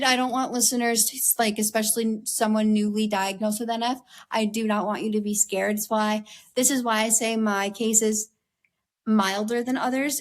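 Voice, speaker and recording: A young American woman, a guest in an interview, speaking candidly in an earnest, conversational way with a slightly raspy voice and many "like"s and "um"s. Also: on the high side. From United States. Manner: earnest, heavy use of "like" and "um".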